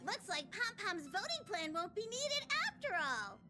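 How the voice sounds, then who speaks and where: A woman voicing a small, cute, excitable conductor creature in a game, squeaky and childlike, announcing things proudly. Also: normal speed, background noise. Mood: excited, proud. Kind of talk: anime-style game voice acting. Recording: loud music behind the voice.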